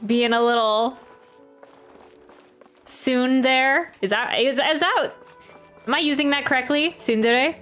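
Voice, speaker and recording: A woman's voice: high voice, takes it slowly, pauses often, a bit bright, soft background music. A woman game streamer chatting casually and reacting on a livestream.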